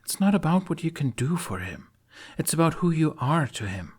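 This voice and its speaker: Guy doing a earnest young lady knight, high voice, stubborn and pleading, swingy delivery, audio drama. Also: sing-song, normal speed, darkish tone, slightly rough. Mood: earnest, insistent. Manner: emphatic, imploring.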